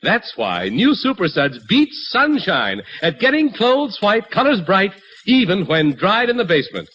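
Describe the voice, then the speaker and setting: An actor playing a friendly neighborhood uncle, delivering a polished, emphatic 1940s radio sponsor pitch like an announcer. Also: male voice, very animated, a little slow, fairly bright tone, dull audio, heavily acted. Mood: upbeat, persuasive. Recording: slightly noisy 1940s radio recording.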